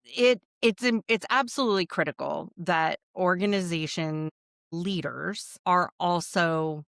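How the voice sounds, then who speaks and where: Woman, middle-aged, Midwestern American accent, lowish voice, enthusiastic podcast guest, dry close sound. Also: unhurried, gravelly, a bit bright, no room sound. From Nebraska, United States. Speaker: podcast guest, nonprofit fundraising professional. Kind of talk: conversational interview answers.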